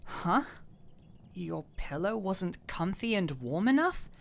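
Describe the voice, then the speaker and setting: Soft, unhurried alchemist played by a woman. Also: fairly low pitch, very animated, gravelly, slight background noise, heavily acted. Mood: teasing, fond. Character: gentle anxious fantasy alchemist, teasing and tender. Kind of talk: roleplay audio drama, intimate one-sided dialogue.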